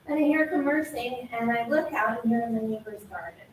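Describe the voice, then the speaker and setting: A woman's voice: a little high, fairly quick. A woman, a resident speaking at public comment, friendly and teasing in a casual way. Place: town hall meeting room. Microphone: handheld mic in a room. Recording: echoey hall, distant mic, faint noise.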